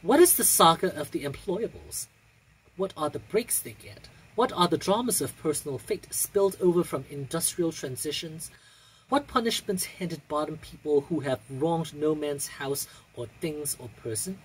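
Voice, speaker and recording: A young adult with an androgynous, husky voice reciting poetry in a measured, theatrical way with deliberate pauses. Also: mid-range voice, expressive, low-quality recording. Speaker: poetry reader.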